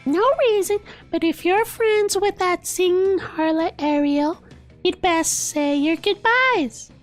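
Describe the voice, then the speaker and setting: Very high, haughty mermaid princess. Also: male voice, soft background music. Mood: regal, scheming. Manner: prim, grandiose, drawn-out delivery. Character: haughty young mermaid princess, posh, sweetly ruthless. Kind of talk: video game character voicing.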